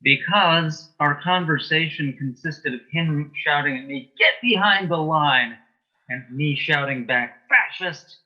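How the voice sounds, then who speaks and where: A man answering as an interview guest in a conversational way, with brief, deliberate replies. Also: fairly high pitch. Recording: dull, lo-fi sound.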